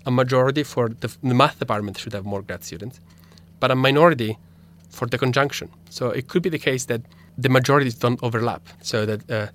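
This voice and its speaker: A man's voice: medium pitch, fairly quick, few pauses, fairly bright tone. Italian-accented young man explaining ideas. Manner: thoughtful, many restarts and fillers. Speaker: philosophy graduate student guest. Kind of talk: explaining research in a radio interview.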